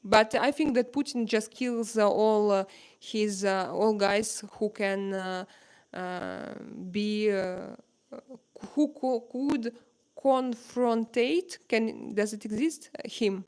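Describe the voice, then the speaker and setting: A woman with a Russian accent and Russian as her first language, hosting a casual live-stream chat at home and pausing often to search for words. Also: medium pitch, a little mellow, smooth. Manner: halting, searching for words with many pauses.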